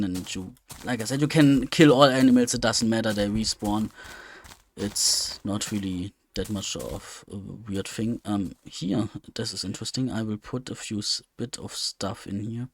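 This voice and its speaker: A man's voice: lowish voice, background noise. A man giving casual, unscripted gameplay commentary, hesitant and rambling as he thinks aloud. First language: German. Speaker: let's play host.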